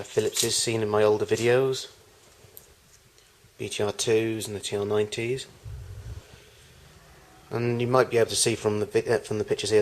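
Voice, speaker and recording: Low-voiced man, flat delivery, noisy home video. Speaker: collector giving a tour of his machines. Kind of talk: unscripted home video tour commentary. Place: home garage with loft. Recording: noisy amateur camcorder audio.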